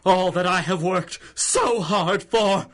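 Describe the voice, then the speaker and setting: An actor playing a paranoid, gloating old millionaire miser in a 1930s-style radio drama, strained and halting. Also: male voice, high voice, noisy background. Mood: frantic, possessive. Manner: raving, halting, possessive.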